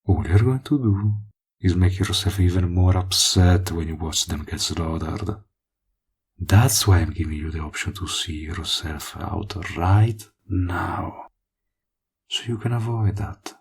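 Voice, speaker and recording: A man playing a smug, possessive villain in an audio roleplay, flirtatious and menacing, with a husky, breathy voice and a slow, teasing delivery that dips toward whispers. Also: low voice, slight background noise. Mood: cool menace. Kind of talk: roleplay audio acting, intimate monologue.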